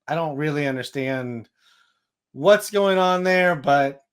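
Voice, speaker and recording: A man's voice: fairly high pitch, slow pace, clean tone. A man hosting a sports podcast, giving casual, matter-of-fact baseball analysis.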